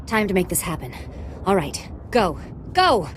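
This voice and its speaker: A woman's voice: lowish voice, sing-song, a little slow, a little hiss or hum. An actress voicing a shrewd, secretive female agent in a sci-fi video game, cool, sly and confident. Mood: urgent.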